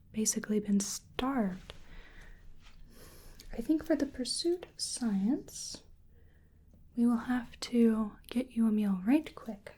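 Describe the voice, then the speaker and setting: A woman whispering softly and breathily in an ASMR roleplay as a polite, curious researcher doing a gentle exam. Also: on the high side. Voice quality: breathy whisper and soft speech. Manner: gentle, hesitant, polite, unhurried.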